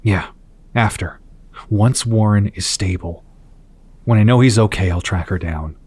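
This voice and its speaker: Husky, troubled low-voiced hero. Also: male voice, darkish tone. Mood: worried, despondent. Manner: tense, brooding, halting. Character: distraught husband and father, troubled hero. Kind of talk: audio drama acting.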